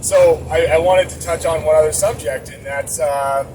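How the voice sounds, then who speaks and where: A chatty trucker talks to the camera as he drives, rambling and joking at his own expense. His voice sits a little high and reaches a mic set back in the cab. Engine and road noise rumble clearly behind him. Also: steady tone, moderate pace, darkish tone, distant mic, rough recording. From Boston area. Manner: rambling, chatty, self-mocking. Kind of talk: casual vlog while driving. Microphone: camera mounted inside the cab.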